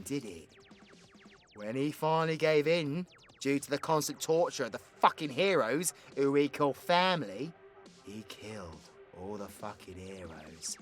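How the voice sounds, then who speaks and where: A man's voice: mid-range voice, a bit bright. A British man playing a cocky, foul-mouthed, flirtatious supervillain who rambles theatrically in an audio roleplay. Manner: rambling, teasing, dramatic storyteller, sweary. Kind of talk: villain ASMR roleplay monologue. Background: background music.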